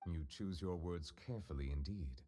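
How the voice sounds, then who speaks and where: An actor playing a formal, reserved male judge who speaks deliberately and guardedly. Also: low voice, dark tone.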